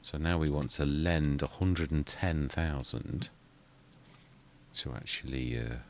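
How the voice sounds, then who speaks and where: A man's voice: rumbling low, slow pace, slight background noise. A man, rambling through casual commentary on a farming game and often correcting himself mid-sentence. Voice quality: quiet voice. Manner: rambling, self-correcting, muttering asides. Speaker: gaming channel host.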